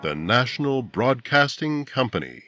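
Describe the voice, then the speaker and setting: A polished actor as a male radio announcer from 1950, with music behind him. His pitch moves widely as he delivers formal, emphatic copy with earnest salesmanship. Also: sing-song, moderate pace, lo-fi sound, natural voice. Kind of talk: 1950s radio announcing, scripted.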